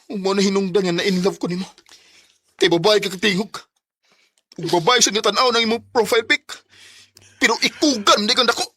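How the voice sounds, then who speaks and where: A man's voice: high voice, a little fast, long pauses, bright, forceful tone, slightly rough, dry recording, heavily acted. A man acting a lovestruck young man, shocked and indignant, in a Filipino radio drama with a Cebuano accent and emotive delivery. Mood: hurt, indignant. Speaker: lead actor and narrator of letter drama.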